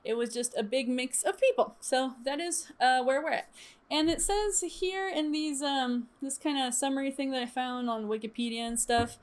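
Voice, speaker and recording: Bright high-voiced young woman chatting. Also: moderate pace. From Chile. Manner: chatty, lively, with frequent laughs and fillers. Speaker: streamer. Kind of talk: casual storytime chat.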